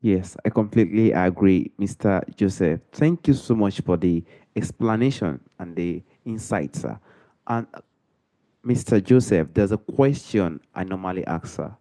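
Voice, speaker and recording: A man's voice: deep voice, animated, normal speed, dark tone. A man hosting a podcast interview in a formal, deferential way, often addressing the guest as sir. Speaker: podcast host.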